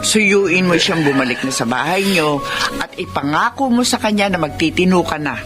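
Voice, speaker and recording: Woman acting an animated, chiding Filipino mother. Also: on the low side, moderate pace, loud music behind the voice, natural voice. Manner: chiding, advising. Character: older mother scolding her grown son, caring. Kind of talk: emotional radio drama acting.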